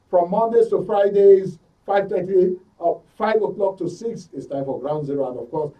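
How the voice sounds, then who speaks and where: A man's voice: on the high side, warm tone, far from the mic, low-quality recording. A man with a Nigerian accent hosting a current affairs talk show, speaking emphatically and repeating phrases for stress. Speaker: radio and TV talk show host. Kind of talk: current affairs discussion and programme sign-off. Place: radio and TV studio.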